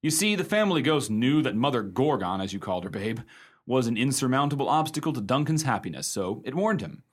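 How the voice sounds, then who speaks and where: Genial radio-play storyteller, conversational husband, expressive, very varied intonation, medium pitch. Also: male voice, a little fast, husky. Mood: confiding. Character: genial husband spinning a ghost yarn to listeners.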